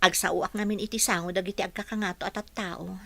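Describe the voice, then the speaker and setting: A woman's voice: mid-range voice, fairly even intonation, fast, vocal fry, sharp tone. A woman with an Ilocano accent playing a determined, earnest young employee in a radio drama.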